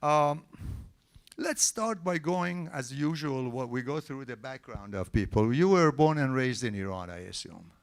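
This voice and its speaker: A man hosts a live meetup, polite yet firm as he keeps the room in order. His voice is smooth and resonant, picked up dry and close on a handheld mic. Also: medium pitch, lively intonation, unhurried, no echo. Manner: polite but firm, asks the crowd for quiet. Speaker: event host and interviewer. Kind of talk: hosting a live tech meetup, then interviewing. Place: event hall with a live audience.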